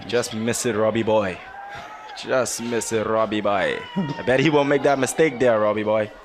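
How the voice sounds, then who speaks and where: A man's voice: fairly low pitch, fairly even intonation, normal speed, a little hiss or hum. A young man with a Bahamian accent, a guest baseball player joining the commentary booth, playful and laughing, repeating his calls.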